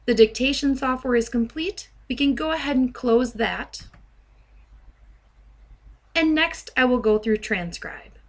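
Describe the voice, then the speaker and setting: A woman software trainer narrating a step-by-step demo in a measured, instructional way. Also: high-pitched.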